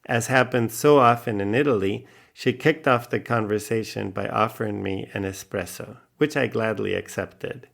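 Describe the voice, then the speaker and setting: Low, creaky male travel narrator. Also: steady tone, normal speed, few pauses, fairly bright tone. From Spain. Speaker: travel podcast host.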